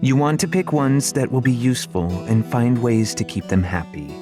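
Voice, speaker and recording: A man's voice: medium pitch, lively intonation, moderate pace, music in the background, close to an everyday voice. A man voicing a weary, shrewd queen mother in a fantasy audiobook, with a matter-of-fact, instructing manner. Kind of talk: audiobook character voice.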